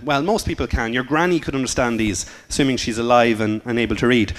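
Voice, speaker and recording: Irish guy doing a jokey conference talk, kinda high voice, upbeat. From Ireland. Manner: joking, lively, self-deprecating. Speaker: conference speaker. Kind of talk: fast-paced humorous presentation talk.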